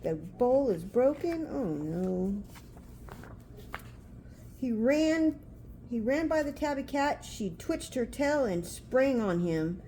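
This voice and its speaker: Older grandma type reading storytime to a kid, mellow voice, homely n chatty, little bit of background noise. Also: female voice, a little high, very animated, takes it slowly, pauses often, rough recording. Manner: homely, chatty, pointing things out. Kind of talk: storybook read aloud with asides to a child.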